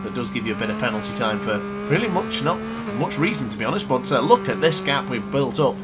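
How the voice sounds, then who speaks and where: A young man with a cold, brisk and congested, commentating a motorbike race in a game. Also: mid-range voice, expressive. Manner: brisk commentator-style narration, self-correcting. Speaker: gaming commentator. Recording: loud game music behind.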